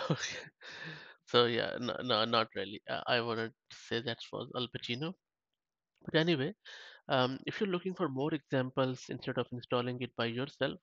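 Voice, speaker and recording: A man talks through a software demo in a loose, chatty way, restarting his sentences as he thinks aloud. His voice sits at an ordinary pitch. The recording is dull and muffled, with a very dry sound. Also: dry recording. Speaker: tech tutorial host. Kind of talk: casual screen-share walkthrough.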